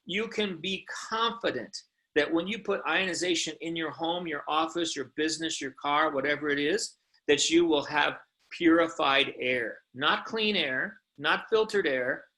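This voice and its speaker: A man's voice: fairly high pitch, animated, normal speed, bright, forceful tone. A man delivering an informal sales presentation in an emphatic, insistent manner, often punctuated with rhetorical questions. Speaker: company presenter pitching products.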